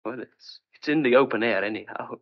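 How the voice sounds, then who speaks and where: A man's voice: medium pitch, a little fast, close to an everyday voice. An actor playing a desperate, stubborn but tender man on the run, speaking earnestly and hesitantly in old-fashioned clipped phrases in a radio thriller. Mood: tender, resigned. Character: desperate fugitive man wrongly suspected of murder, stubborn, tender. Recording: dull lo-fi old radio drama recording.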